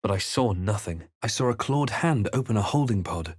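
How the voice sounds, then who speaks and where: Low-voiced, brooding fantasy adventurer. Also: male voice, expressive, moderate pace, darkish tone, no room sound, studio quality. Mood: somber, reflective. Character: brooding male fantasy adventurer narrating his quest. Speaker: video game player-character voice. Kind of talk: dramatic first-person game narration.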